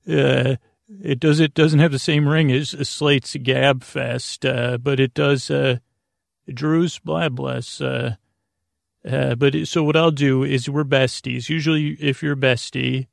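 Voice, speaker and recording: Gravelly, rambling American man, bedtime podcast host. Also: medium pitch, steady tone, dry recording, clean studio sound. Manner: meandering, halting, stumbles and trails off mid-thought. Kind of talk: rambling bedtime monologue to help listeners sleep.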